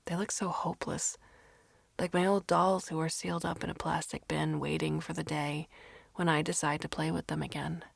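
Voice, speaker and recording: A woman narrates a first-person short story with dramatic expression. Her voice sits a little low and has a clear creak. The recording is dry and close, with no room sound. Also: little pitch movement, moderate pace, no echo.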